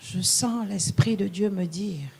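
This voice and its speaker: A man's voice: high voice, slow pace, vocal fry, a little mellow, husky. A man interpreting a sermon live in a church, echoing each phrase right after the preacher in French or English.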